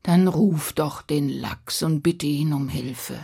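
A woman voicing a gruff male wolf who speaks in a low, growled half-whisper. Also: slow, dark tone, heavily acted.

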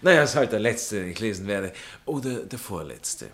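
Snooty baron, lowish voice, dry polite irony, stage comedy. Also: male voice, expressive, moderate pace, a bit bright. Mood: soothing, superior. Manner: clipped courtesy, hesitant interjections, pointed irony. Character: jealous aristocratic baron, drily polite and condescending. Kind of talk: theatrical stage comedy dialogue.

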